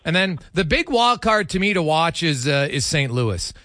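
A man's voice: a little high, big pitch swings, normal speed, a bit bright, no room sound, clean studio sound. A man giving opinionated, conversational hockey analysis as a guest, speaking off the cuff with emphasis. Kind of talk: unscripted hockey talk.

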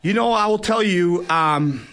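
A man's voice: sits high, measured pace, fairly bright tone. Casual man, a fighter talking shop. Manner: informal, frequent "you know" tags. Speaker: guest, fighter turned fight promoter. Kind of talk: casual sports interview.

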